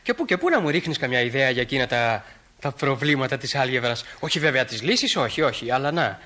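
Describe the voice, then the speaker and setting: A man's voice: mid-range voice, expressive, pushed and bright. A man playing an awkward, enthusiastic sixteen-year-old boy in a Greek radio play, hesitant and eager. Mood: shy, admiring.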